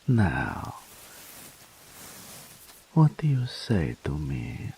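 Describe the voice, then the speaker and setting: A man voicing a dominant, possessive male incubus demon in an audio roleplay, talking in a slow, purring way that often drops to a whisper. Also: rumbling low, wildly swooping pitch, vocal fry, mellow, a little hiss or hum, heavily acted, low-quality recording. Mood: doting.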